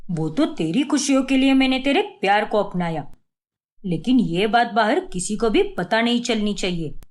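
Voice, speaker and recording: A strict older father-in-law from a cartoon family drama, played by a woman. He speaks in a commanding, dismissive way, laying down household rules with lively swings of pitch. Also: normal speed, close to an everyday voice. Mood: stern, commanding.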